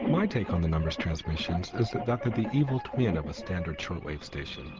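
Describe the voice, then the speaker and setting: A man's voice: low voice, expressive, fairly quick. A man reading scripted first-person narration for a radio documentary. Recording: dull, lo-fi radio, loud sound beds. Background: loud music and shortwave sounds.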